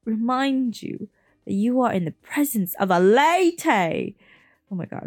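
A woman's voice: mid-range voice, vocal fry, a little mellow, no echo, clean studio sound. A woman reading a novel aloud with casual asides, tripping over words and laughing at herself. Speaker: reader and host.

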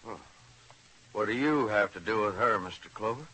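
A man playing a gruff, curt father in an old radio drama. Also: lowish voice, moderate pace, sharp tone, low-quality recording. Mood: testy. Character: gruff, testy middle-aged father. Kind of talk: 1950s radio drama acting.

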